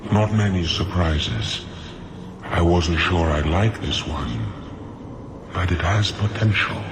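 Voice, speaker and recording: A sly demon of sloth in a fantasy game, drawling in a very low voice. He is unhurried and nonchalant, with noisy ambience behind him. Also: animated, gravelly, heavily acted. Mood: languid, sly. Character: languid, sly male sloth demon offering bargains.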